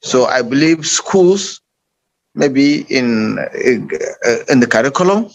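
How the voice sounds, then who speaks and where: Nigerian man, panel guest, deliberate slow delivery with many pauses and fillers. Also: mid-range voice, animated. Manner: unhurried, with frequent uh fillers and restarts. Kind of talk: online panel discussion.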